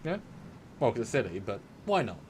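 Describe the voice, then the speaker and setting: A man co-hosts a relaxed live chat from his room at home. He jokes easily, and his pitch swings up and down. A light hiss of noise sits under his video-call audio. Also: a little fast. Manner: lively, chatty, joking. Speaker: co-host. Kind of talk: casual live discussion.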